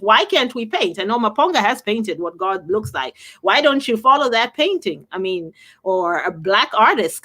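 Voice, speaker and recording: A woman's voice: medium pitch, a bit bright. A woman hosting a conversational discussion, reading out audience comments and giving her opinions with pointed questions.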